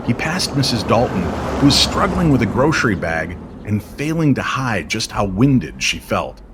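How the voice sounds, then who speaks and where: A man narrating an eerie, nostalgic story as a storyteller, in a measured and dramatic manner. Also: fairly low pitch, sing-song, very noisy.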